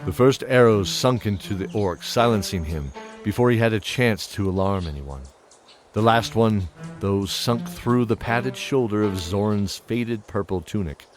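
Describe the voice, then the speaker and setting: Low-voiced male fantasy narrator. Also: unhurried. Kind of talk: scripted fantasy story narration. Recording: music under the voice.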